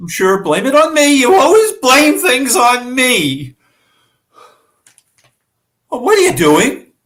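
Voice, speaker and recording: A needy, easily wounded ageing dad played by a woman in an amateur stage play reading. The delivery is slow and plaintive, drawing out each hurt. The voice is fairly high and swings very widely in pitch. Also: big pitch swings, a bit bright, heavily acted.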